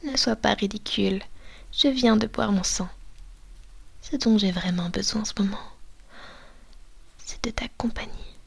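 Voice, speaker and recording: A woman's voice: mid-range voice, a little slow, creaky, long pauses, a bit bright, husky, full character voice. A woman voicing a possessive, domineering female vampire mistress in an ASMR roleplay, mostly whispering, coaxing and commanding into a binaural microphone.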